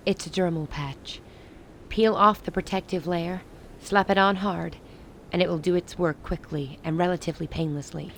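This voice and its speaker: An actress playing a grave, resolute woman who leads a besieged rebel city in a radio play, speaking in measured, formal lines. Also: lowish voice, fairly even intonation, gravelly, fairly bright tone, a little hiss or hum. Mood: solemn, insistent. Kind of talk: dramatic radio play dialogue.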